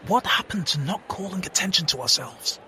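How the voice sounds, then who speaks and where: A man playing a sober, literal-minded alien in an audio drama, deadpan and formal. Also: high voice, brisk, heavily acted. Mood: deadpan, serious. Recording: faint background ambience noise.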